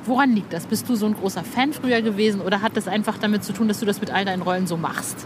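A woman's voice: mid-range voice, racing, noisy background. A woman hosting a podcast interview in a casual, chatty way, informal and eager in her emphasis. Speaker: podcast host, music journalist.

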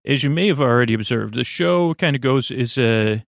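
A man hosting a bedtime podcast in a creaky voice, delivering a halting, meandering monologue meant to lull listeners to sleep. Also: medium pitch, lively intonation, no room sound, studio quality. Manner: meandering, halting, drifts off topic. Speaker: podcast host.

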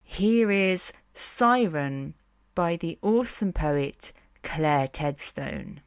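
A woman's voice: low-pitched, animated, extremely slow. A woman hosting a podcast, giving conversational commentary with wry, informal asides. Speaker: podcast host and storyteller. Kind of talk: conversational commentary on myth and books.

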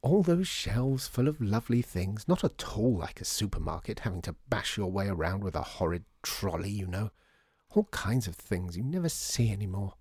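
A man voicing a dithery, genteel old lady in a storybook, breathy, effusive and wistful. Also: on the low side, big pitch swings, normal speed, a little mellow, dry recording, clean studio sound, heavily acted. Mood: wistful, gushing. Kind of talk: storybook character voice.

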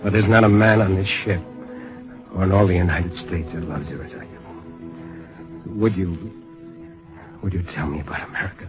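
A man acts a lonely exiled sailor for vintage radio drama. His voice sits very low, speaking earnestly and haltingly with deep longing and remorse. Music plays softly behind him. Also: fairly even intonation, lightly acted. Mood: dying, pleading. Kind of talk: old-time radio drama acting.

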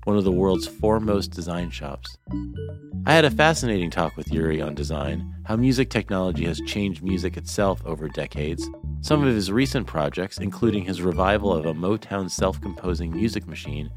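A man hosting a podcast, reading a scripted intro and then asking questions in a measured way. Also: deep voice, expressive, over music. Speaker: podcast host.

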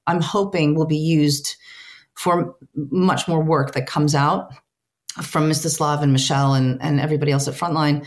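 A woman whose first language is Ukrainian, answering interview questions as a translator, earnest and restarting often as she searches for words. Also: low voice. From United States, Ukrainian family.

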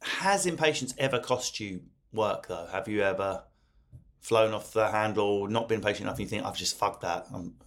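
A man's voice: fairly low pitch, normal speed, fairly bright tone. A man hosting a casual podcast chat, brash and jokey, swearing freely and cutting in. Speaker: podcast host.

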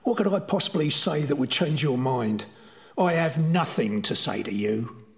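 An actor playing a desperate, bitter condemned prisoner in an audio drama, emotional and prone to stammering. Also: male voice, a little high, expressive, normal speed, vocal fry, husky, full character voice.

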